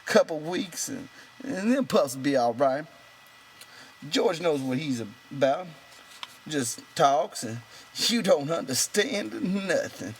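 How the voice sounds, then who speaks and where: A man's voice: fairly high pitch, lively intonation, slow pace, slight background noise, pitched above the performer's own voice. A man voicing a proud, bitter, lonely stable hand in an audiobook, talking in an insistent, rambling colloquial way. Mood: probing. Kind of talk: character voice in an audiobook reading.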